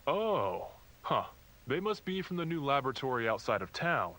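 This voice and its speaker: A man voices a puppet priest from a mock religious children's show. He is gentle, fatherly and preachy, explaining things down to children in a very animated, mid-pitched voice with a faintly sinister undertone. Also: normal speed. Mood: friendly, cautioning. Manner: patronizing, instructive.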